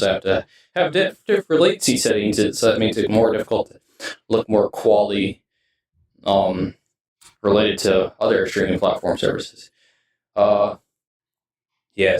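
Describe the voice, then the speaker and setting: A man giving casual, rambling streamer talk, halting and often trailing off mid-sentence. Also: fairly low pitch. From United States. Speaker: video game streamer. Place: indoors in his streaming room setup. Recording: roomy echo, distant mic, music behind.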